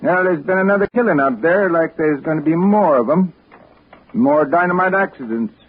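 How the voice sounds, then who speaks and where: An actor playing a grizzled, blind old American local, folksy and foreboding, in a 1960s radio drama. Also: male voice, a little high, moderate pace, breathy edge. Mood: folksy warning. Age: older. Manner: folksy, drawling, hesitant warnings. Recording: muffled vintage radio recording, faint noise.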